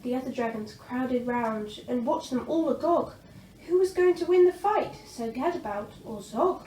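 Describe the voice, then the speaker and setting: A woman storyteller giving an expressive reading of a children's picture book. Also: high voice, normal speed. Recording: roomy, distant mic, faint noise.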